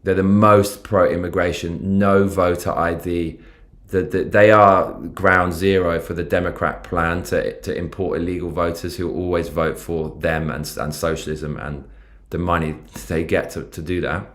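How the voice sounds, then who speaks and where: A man's voice: low voice, fairly even intonation, unhurried, a bit bright. A man in his forties with a London accent, hosting a rambling, profane political livestream and reading out chat comments. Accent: London English. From London, England. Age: 40s.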